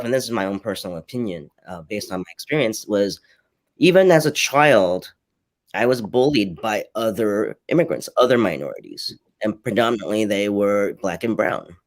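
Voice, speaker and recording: Earnest low-voiced man, Cantonese native. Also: expressive, moderate pace. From Hong Kong. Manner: earnest, repeats words while gathering thoughts. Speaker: panelist. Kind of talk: candid personal reflection in a panel chat.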